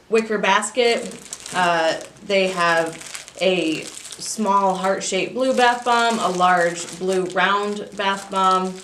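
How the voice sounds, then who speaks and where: A woman's voice: lowish voice, fairly bright tone. A woman giving an informal, practical instructional walkthrough in a plain way. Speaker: 4-H youth assistant giving a staff training. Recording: echoey room, distant mic, faint noise.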